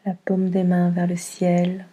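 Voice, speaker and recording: A woman guiding a yoga nidra meditation in a calm, soothing manner, with a soft, breathy delivery. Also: lowish voice, takes it slowly, rough recording. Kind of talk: guided meditation.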